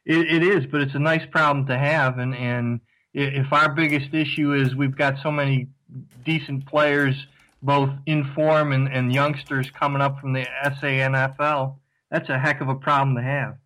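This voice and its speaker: A man with a mid-range voice talks football in an upbeat, measured way, often restarting his phrases as he goes. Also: mid-range voice, level tone, fairly bright tone, rough recording. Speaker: podcast panelist. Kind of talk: casual chat about football.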